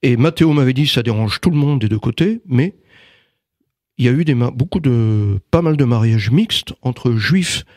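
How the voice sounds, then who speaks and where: A man's voice: medium pitch, darkish tone, dry recording. Hesitant French-speaking man, dry sound. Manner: hesitant, many fillers and restarts. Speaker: interviewee, writer and magnetizer. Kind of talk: casual interview.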